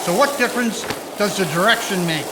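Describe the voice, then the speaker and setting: Through noisy, sizzling ambience, a man plays an indignant passenger in a radio drama. The voice is high and complaining, with an accusatory, argumentative edge. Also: fairly quick, close to an everyday voice. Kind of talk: amateur historical radio drama acting.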